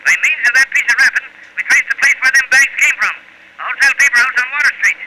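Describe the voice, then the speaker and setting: An actor playing a brisk, folksy, plainspoken police sergeant in 1940s radio style, talking in slangy cop talk. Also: male voice, extremely high pitch. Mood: eager. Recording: very noisy old radio recording.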